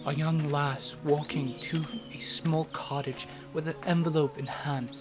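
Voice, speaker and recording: A man narrating a scripted fantasy story in a solemn, measured, dramatic manner. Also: on the high side. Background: music.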